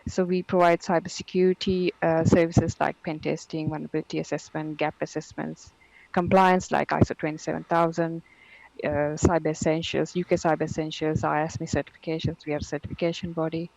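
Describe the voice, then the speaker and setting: A woman with a mixed Sri Lankan and Geordie accent speaks as an interview guest. Her voice sits fairly low and the sound is dry and close. She laughs easily and stumbles over her first words before settling into her point. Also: flat delivery, a little fast, gravelly, darkish tone, no room sound, lo-fi sound. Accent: mixed Sri Lankan and Geordie English. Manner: laughs easily, repeats words when starting sentences. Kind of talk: casual interview conversation.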